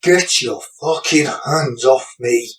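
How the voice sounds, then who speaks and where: An actor playing a drugged, battered, frightened but defiant man in an audio drama, with slurred, slow speech. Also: medium pitch, fairly bright tone, echoey room. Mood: frightened, angry.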